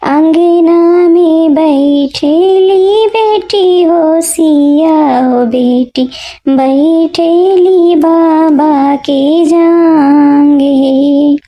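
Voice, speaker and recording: A woman singing a traditional folk song slowly, with a Bhojpuri accent. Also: high-pitched. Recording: singing over soft background music.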